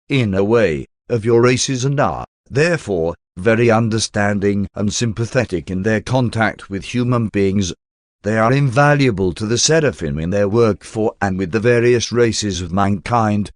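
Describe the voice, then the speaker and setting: Low-pitched man reading formally. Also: no room sound, studio quality. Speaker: audiobook narrator. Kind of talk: scripted narration, formal reading.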